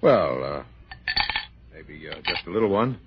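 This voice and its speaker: A man's voice: low-pitched, very animated, measured pace, vocal fry, very noisy, heavily acted. An actor playing a bitter, hard-drinking American man in a radio drama, with a loose, sardonic delivery. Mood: falsely casual. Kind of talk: 1960s radio drama acting. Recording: muffled old radio broadcast.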